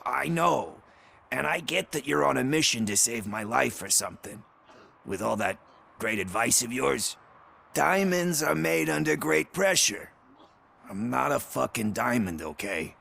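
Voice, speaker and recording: A man playing a desperate, bitter junkie informant in a video game, rambling and pleading with rough language. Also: medium pitch, animated, measured pace, bright, forceful tone, exaggerated voice. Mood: bitter, defensive. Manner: rambling, foul-mouthed, pleading. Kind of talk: video game cutscene acting.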